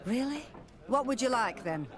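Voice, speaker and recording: A woman's voice: fairly high pitch, lively intonation, moderate pace, crowd noise, natural voice. A woman playing a sweet-talking tavern courtesan in a video game, coy, familiar and teasing. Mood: flirtatious, inviting. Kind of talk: video game dialogue acting.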